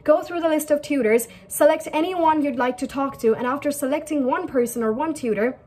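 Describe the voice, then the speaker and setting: A woman's voice: high-pitched, brisk, a little mellow, slight background noise. A young woman, teaching viewers in a chatty way and often repeating her points and asking them questions. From India. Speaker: English tutor. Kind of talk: casual teaching talk to camera. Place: small indoor room.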